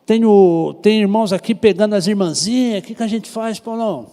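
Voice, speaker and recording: A fairly high-voiced man with a Brazilian Portuguese accent teaching a church Bible class. His tone is mellow and unhurried, and he keeps turning questions back to the class. The sound carries a long reverb, as if in a large church hall. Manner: conversational, asks the class questions. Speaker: pastor teaching Sunday school class.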